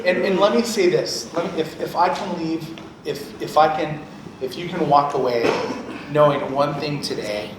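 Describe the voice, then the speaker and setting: A man's voice: fairly high pitch, normal speed, noisy background. A man speaking as a guest radio programmer on a panel, giving advice to artists and repeating key points for emphasis. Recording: loud music behind, roomy sound.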